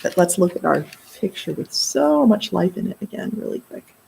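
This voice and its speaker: A middle-aged woman reading aloud to children in an expressive way, pausing for casual explanatory asides. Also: on the low side, creaky, husky, low-quality recording. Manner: expressive, explaining words and asides to young listeners. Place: indoor library children's room.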